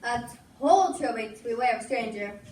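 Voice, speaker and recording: A woman's voice: a little high, lively intonation, a little fast, darkish tone, lightly acted. A woman playing a worried, superstitious frontier sister in a stage melodrama, dramatic and full of warning. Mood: worried, foreboding. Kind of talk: theatrical stage melodrama acting. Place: indoor small school stage before an audience. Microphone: far camera. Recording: distant echoey stage, clear background noise.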